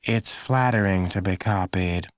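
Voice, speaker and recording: A man's voice: deep voice, unhurried, no echo, studio quality. A man voicing a tired, exasperated mother in a children's audiobook. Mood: weary. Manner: weary, patient scolding.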